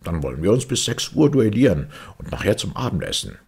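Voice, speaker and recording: A man's voice: on the low side, sing-song, brisk, vocal fry, darkish tone, slightly rough, voice pushed up, heavily acted. A man voicing a pompous, blustering, childish storybook twin brother, scornful and self-important.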